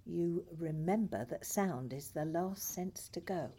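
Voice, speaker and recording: An actress playing a patient, caring hospice nurse in an audio play, speaking in a gentle, hesitant, reassuring way. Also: female voice, on the low side, unhurried, a little hiss or hum, lightly acted. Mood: calm, reassuring.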